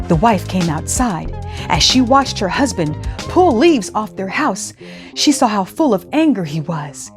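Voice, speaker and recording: Lady doing kids storytime read aloud, teachery and expressive, pitch moves around a lot, music behind her. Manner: expressive, teacherly, addressing children and parents. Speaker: host of a children's read-aloud storytime show. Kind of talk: expressive storybook reading with scripted instructions.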